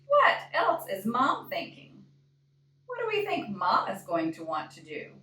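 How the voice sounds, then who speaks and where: A woman reading a picture book aloud in an expressive storytime style, deliberate and often putting questions to young listeners. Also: on the high side. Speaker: librarian reading a storybook to children. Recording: echoey room, distant microphone.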